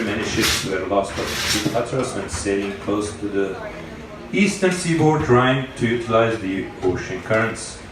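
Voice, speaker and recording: A man speaking as a cruise ship captain, making a formal, measured and courteous announcement with halting pauses over a public address speaker. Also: mid-range voice. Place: indoors on a cruise ship, heard over loudspeakers by a walking passenger. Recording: echoey ship PA from far off, crowd chatter. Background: passengers chattering.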